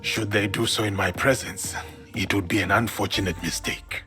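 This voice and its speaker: Resolute samurai warrior, low register, measured formal delivery, grave, video game cutscene, prominent music. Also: male voice, fairly even intonation, sharp tone. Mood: grave, courteous.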